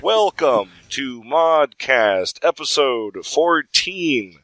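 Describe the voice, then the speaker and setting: A man hosting a casual podcast, relaxed and joking as he runs the show. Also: mid-range voice, drawn-out words, no echo. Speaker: podcast host.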